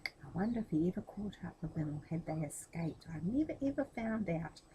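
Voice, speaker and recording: Female children's storyteller, expressive and lively, mellow tone, talks straight to the kids, some background noise. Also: on the low side, brisk, rough recording. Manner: expressive, addressing young listeners directly. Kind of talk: children's story reading with explanatory asides.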